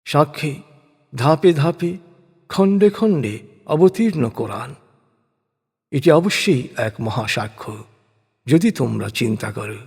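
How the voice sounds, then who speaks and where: Man, slightly high pitch, husky tone, solemn scripture narration, measured declamatory delivery. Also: animated. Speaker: reciter of a scripture translation. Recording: long hall-like reverb on the voice.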